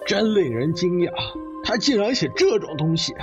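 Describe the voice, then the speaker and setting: A man's voice: high voice, sing-song, moderate pace, over music. A man playing an inquisitive, pressing family member in a detective audio drama, on a dull recording. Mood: astonished.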